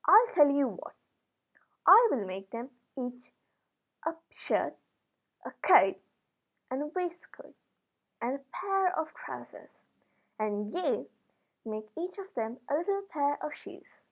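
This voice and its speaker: Woman reading as a high-voiced, kindly wife. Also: big pitch swings, slow, pauses often, highs cut off, full character voice. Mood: kind, resolved. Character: kind, grateful shoemaker's wife. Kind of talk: storybook reading.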